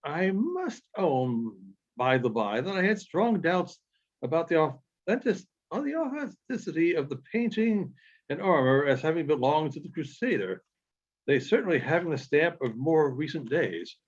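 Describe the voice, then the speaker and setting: A man reading literary narration aloud in a halting way, with frequent pauses and small stumbles. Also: a little high, very animated. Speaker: audiobook reader. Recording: dull, lo-fi sound.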